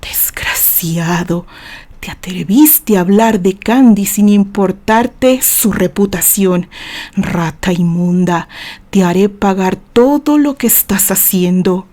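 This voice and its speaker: A Mexican woman voicing a vengeful man's seething inner curse in an audio novel, slow and hissed. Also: medium pitch, mellow, pitched below the performer's own voice, close to an everyday voice. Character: seething, vengeful man cursing his rival in thought.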